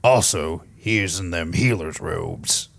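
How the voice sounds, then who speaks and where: A man's voice: low voice, slow, creaky, dry recording, full character voice. A man voicing a smirking, laconic male griffin in an audio drama, with a dry delivery. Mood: dry, smug.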